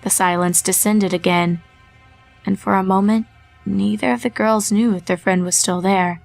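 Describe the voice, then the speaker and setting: A woman narrating a horror fanfiction story in a measured storytelling manner. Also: medium pitch, steady tone, creaky, soft background music.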